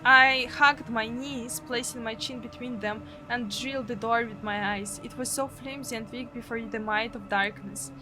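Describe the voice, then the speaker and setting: A woman's voice: fairly high pitch, fairly even intonation, moderate pace, hardly any pauses, music in the background, low-quality recording. A woman with a Russian accent, a native Russian speaker, dramatically narrating a visual novel as a streamer. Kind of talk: dramatic narration and live translation of game text.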